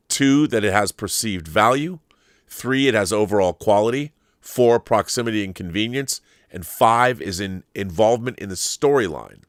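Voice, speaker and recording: Male commentary host, low voice, dry close sound, opinionated pop-culture delivery. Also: a bit bright, dry recording. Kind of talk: opinionated pop-culture commentary, partly reading an article aloud.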